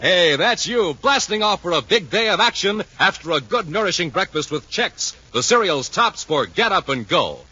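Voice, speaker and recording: A man announcing and pitching enthusiastically in showy 1950s radio style, on an old recording. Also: big pitch swings, moderate pace, hardly any pauses, sharp tone, highs cut off, faint music behind.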